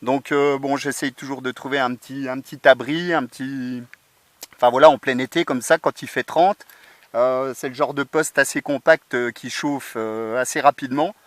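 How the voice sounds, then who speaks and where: A man's voice: mid-range voice, fairly even intonation, moderate pace, no echo. A man, an amateur radio operator, chatting casually outdoors into a portable set's microphone. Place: outdoors in a field under a tree. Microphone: portable radio transceiver microphone.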